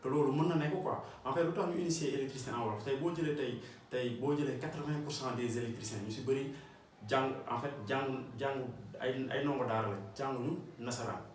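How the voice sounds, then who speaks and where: A man's voice: mid-range voice, brisk, slight background noise. A man with a Senegalese accent, an electrician giving an earnest motivational talk to his audience and repeating his points for emphasis. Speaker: electrician and channel host. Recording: roomy echo, distant mic, faint ambience.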